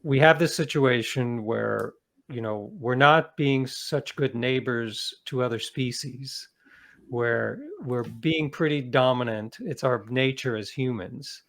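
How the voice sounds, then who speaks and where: A man's voice: mid-range voice, takes it slowly, a bit bright. A man speaking as an interviewed author, explaining ideas in a reflective, conversational way with small qualifying asides.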